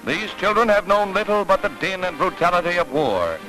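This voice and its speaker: A high-voiced American man announces in the grand manner of a 1940s newsreel. His delivery is scripted, declamatory and dramatic, with prominent music running behind him on an old film soundtrack. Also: big pitch swings, brisk, rough recording. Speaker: newsreel narrator. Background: orchestral newsreel music.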